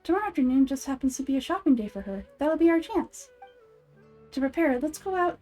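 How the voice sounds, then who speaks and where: A woman voicing a small, wise young girl deity from a game, speaking in a measured, earnest and gentle manner. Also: high-pitched, animated, faint music behind. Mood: calm, thoughtful.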